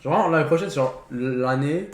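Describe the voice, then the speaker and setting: A man's voice: a little high, brisk. A young man chatting casually about films in slangy speech, dropping English phrases into his sentences. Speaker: podcast co-host. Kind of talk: casual film chat. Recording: roomy echo, faint background noise.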